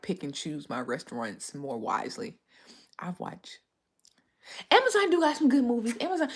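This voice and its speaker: A woman's voice: sits low, a little hiss or hum. A woman hosting a casual livestream, chatting and answering viewer comments, a bit stuffy from a cold. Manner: chatty, rambling, reads viewer comments aloud. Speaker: livestream host.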